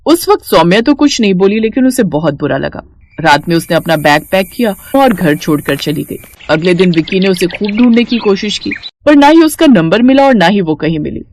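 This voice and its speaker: A woman's voice: on the low side, expressive, normal speed, a little mellow, a little hiss or hum. A woman storyteller reading scripted narration for a moral tale.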